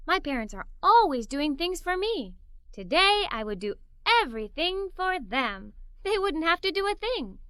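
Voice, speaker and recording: A woman reading a children's story aloud in the first person, with animated, expressive delivery. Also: very high voice, moderate pace, no echo, clean studio sound. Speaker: storybook narrator.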